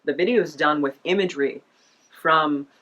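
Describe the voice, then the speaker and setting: A woman's voice: low voice, normal speed, fairly bright tone, muffled recording. A woman hosting a podcast in a casual, rambling, self-deprecating chat style. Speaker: podcast host.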